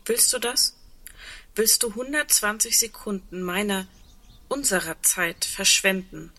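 A woman's voice: medium pitch, animated, unhurried, sharp tone, full character voice. A woman acting in a German radio play as an earnest, insistent woman who clings to hope, speaking intimately and pressing with questions. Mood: impatient, challenging.

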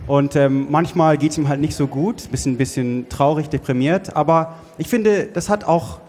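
A man's voice: fairly high pitch, darkish tone, lightly acted. A man plays a hesitant, downbeat club founder in improvised comedy. His voice is fairly light and full of halts. Long reverb surrounds it. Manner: hesitant, halting. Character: Travis, hesitant glum lumberjack-like club founder. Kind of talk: improvised comedy radio play. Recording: echoey hall with long reverb.

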